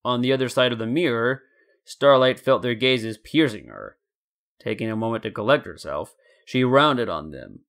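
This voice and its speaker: A man narrating a scripted story in a deliberate, dramatic storytelling manner. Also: mid-range voice, animated, fairly bright tone. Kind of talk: scripted fan fiction narration.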